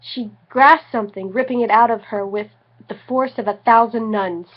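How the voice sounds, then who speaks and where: A woman's voice: on the high side, fairly even intonation, normal speed, slight background noise. A tipsy woman giving a theatrical, mock-dramatic reading of comic fan fiction, prone to giggling. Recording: slightly dull lo-fi home recording.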